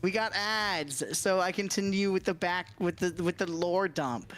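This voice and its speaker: A man's voice: sits high, measured pace, fairly bright tone. A man chatting casually as a livestream guest, explaining things in a hesitant, circling way with frequent hedging.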